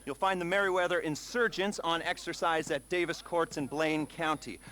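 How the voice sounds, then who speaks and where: An actor playing a cynical, secretive agent in a video game, briefing a crew in a blunt, conversational way. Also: male voice, on the high side, steady tone, moderate pace, sharp tone. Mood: businesslike.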